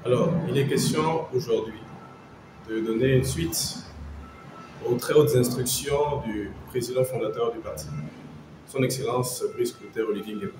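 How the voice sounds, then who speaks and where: A man's voice: medium pitch, fairly even intonation, moderate pace, roomy sound, noisy background. A man making a formal, rallying statement to the camera, urging listeners to join. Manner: formal, exhortative. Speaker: party member interviewed.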